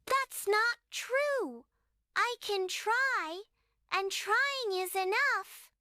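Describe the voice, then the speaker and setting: A woman voicing an earnest, soft-spoken preschool girl in a children's bedtime story. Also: very high voice, extremely slow, no room sound, clean studio sound, exaggerated voice. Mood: brave.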